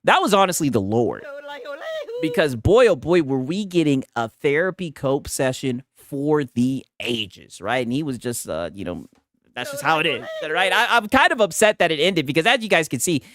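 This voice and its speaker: A man's voice: fairly high pitch, very animated, moderate pace, a bit bright. A man hosting a live stream, reacting to clips in a mocking way and tagging his sentences with "right?". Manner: mocking, laughing often. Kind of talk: casual live-stream commentary.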